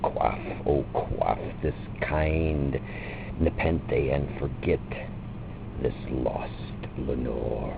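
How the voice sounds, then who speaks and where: A man with a gravelly voice gives a theatrical, drawn-out reading of a dark narrative poem, with long pauses. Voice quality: gravelly, very deep. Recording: muffled lo-fi recording with loud background noise.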